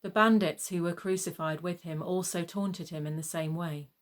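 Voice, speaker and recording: A woman reading a scripted gospel narration in a measured, solemn manner. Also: fairly low pitch, steady tone.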